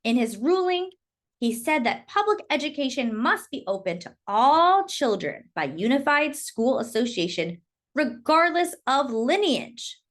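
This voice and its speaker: A woman reading a children's book aloud in expressive storytelling narration. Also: high-pitched, measured pace.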